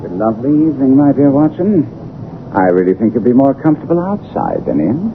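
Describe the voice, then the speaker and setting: An actor playing a commanding, keen-minded detective in a 1970s radio drama, theatrical, assured and precise. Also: male voice, medium pitch, wildly swooping pitch, fairly quick. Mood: wry, amused. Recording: muffled, narrow-band vintage radio, faint hiss.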